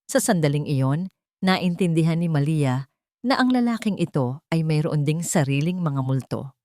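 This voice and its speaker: Lady narrator doing a dramatic love story, kinda low voice, super lively pitch, dry sound. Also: normal speed, no room sound.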